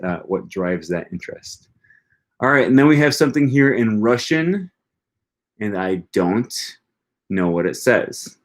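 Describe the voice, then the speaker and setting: A young man hosting a language-learning live stream, reading viewer comments aloud in a chatty, rambling way with lots of ums and restarts. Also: fairly low pitch. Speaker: language-learning livestream host.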